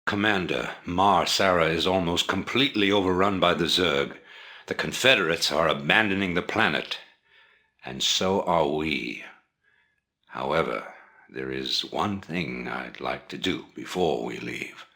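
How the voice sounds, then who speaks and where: An actor playing a calculating rebel leader in a video game, giving briefings in a measured, formal, authoritative way. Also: male voice, extremely low pitch, vocal fry, pushed and bright, exaggerated voice. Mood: grave, commanding. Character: commanding, calculating older male rebel leader.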